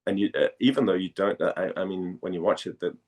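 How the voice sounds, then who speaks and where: A man from Denmark speaking casually as an interviewed actor, halting and repeating phrases as he searches for words. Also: low-pitched, gravelly, a little mellow.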